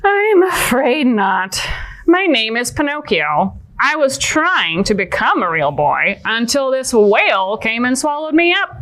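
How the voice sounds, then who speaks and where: Lady as a whiny little puppet boy, kids show, slow talker, highish voice, kinda miserable and touchy. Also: very animated, a bit bright. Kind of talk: children's puppet show acting.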